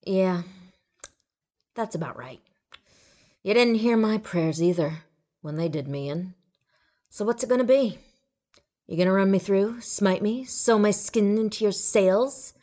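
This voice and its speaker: A woman's voice: mid-range voice, lively intonation, a little slow, pauses often, mellow, voice pushed up, natural voice. A woman voicing a sneering undead female marsh ghoul with a crude, gurgling, mocking manner. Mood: bitter.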